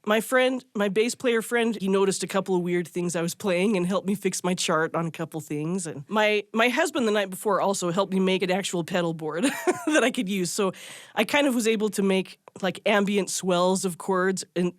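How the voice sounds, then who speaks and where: A woman's voice: medium pitch, normal speed, no room sound, clean studio sound. A woman telling a personal story in a casual, candid, self-deprecating way, like an interviewee. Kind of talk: casual conversational anecdote.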